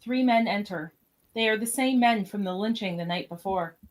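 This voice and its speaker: Mid-pitched woman narrating a radio play. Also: normal speed, heavy background noise. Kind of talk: scripted narration of stage directions.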